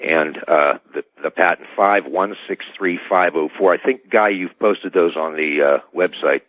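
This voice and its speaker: A middle-aged man giving formal, halting technical explanations as an expert guest in a radio interview, over a dull band-limited connection. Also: deep voice, dry recording. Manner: hesitant, formal, technical wording. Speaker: expert guest, scientist and inventor.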